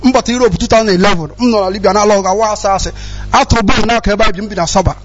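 A man's voice: sits high, racing. A man giving an impassioned personal testimony, agitated and repeating himself from Nigeria's southeast. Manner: agitated, repetitive, often asks for understanding. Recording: dull lo-fi sound with music behind.

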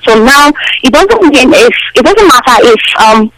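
Caller, woman from Nigeria, phone line; halting delivery, very high voice. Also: lively intonation, a bit bright. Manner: hesitant, halting, many fillers. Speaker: radio call-in caller. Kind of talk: phone-in chat.

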